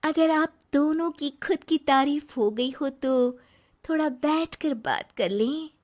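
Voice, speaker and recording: A woman's voice: sits high, slow pace. A woman voicing a sarcastic, nagging young Indian housewife in a cartoon comedy, scolding and exclaiming. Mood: sarcastic, annoyed. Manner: scolding, exclaiming, sharp-tongued. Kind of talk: Hindi cartoon comedy acting.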